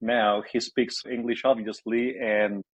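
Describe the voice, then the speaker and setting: Romanian-accented man, husky and dry. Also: medium pitch, steady tone, a little slow, dry recording. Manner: hesitant, many fillers and restarts. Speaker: guest entrepreneur. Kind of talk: conversational interview answers.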